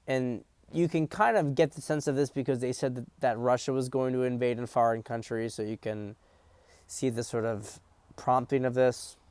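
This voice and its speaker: A man delivers political commentary in a blunt, profane, emphatic way, often circling back and restating his points. His voice is smooth and resonant at a middle pitch. The sound is dry and close, with almost no room around it. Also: fairly even intonation, moderate pace, darkish tone, no room sound. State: hiccups.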